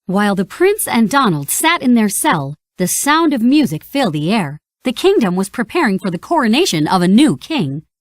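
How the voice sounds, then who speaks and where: A woman narrates a children's read-along storybook with expressive energy. Her voice moves up and down freely through each sentence. The recording is dry and close, with no room around it. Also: mid-range voice, normal speed, few pauses, no echo. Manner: animated, expressive.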